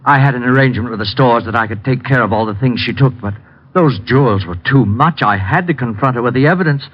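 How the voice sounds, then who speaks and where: A man acts a polite but guarded husband in a vintage radio mystery. His mid-pitched voice is measured and formal, always explaining. The recording is dull and old. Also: close to an everyday voice. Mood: solemn. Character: smooth, defensive husband hiding behind polite explanations. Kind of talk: old-time radio drama acting.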